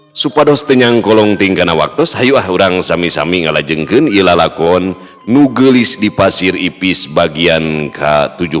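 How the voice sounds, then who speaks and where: A man telling a traditional folk tale in a very deep voice. He narrates slowly, with a rising and falling, melodic delivery. A long reverb trails his words over faint background music. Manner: slow, lively melodic delivery. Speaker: storyteller.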